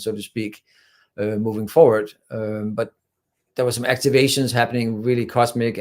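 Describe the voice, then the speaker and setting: A man's voice: fairly low pitch, a little mellow. A man from Denmark, a spiritual channeler talking as a guest in an interview in an earnest, rambling way, often filling with "you know". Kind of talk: casual interview talk.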